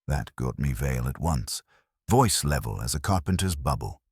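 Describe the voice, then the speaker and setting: Very deep-voiced male detective-story narrator. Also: expressive, normal speed, darkish tone, slightly rough, no echo, studio quality. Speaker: audiobook narrator. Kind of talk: scripted first-person detective story narration.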